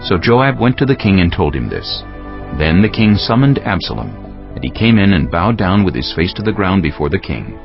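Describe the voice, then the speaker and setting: A man narrating a dramatized scripture reading in a solemn, measured storytelling manner. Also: deep voice, big pitch swings, vocal fry. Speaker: narrator of a dramatized audio Bible. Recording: dull lo-fi audio with background music.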